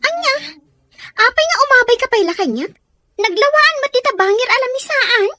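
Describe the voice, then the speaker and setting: A woman's voice: very high voice, lively intonation, normal speed, bright, forceful tone, dry recording, heavily acted. A woman playing a spoiled, resentful schoolgirl in an audio drama, petulant and snappish. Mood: hostile, dismissive. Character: spoiled, haughty, resentful schoolgirl daughter Dorina. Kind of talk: Ilocano audio drama acting.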